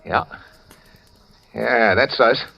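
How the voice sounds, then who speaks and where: An American actor playing a wary, determined sailor on the run in a 1940s radio thriller, talking in clipped, hesitant, colloquial phrases. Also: male voice, mid-range voice, extremely slow, gravelly, muffled recording, exaggerated voice. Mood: tense, insistent. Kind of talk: 1940s radio thriller acting, dialogue and first-person narration. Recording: vintage radio drama recording.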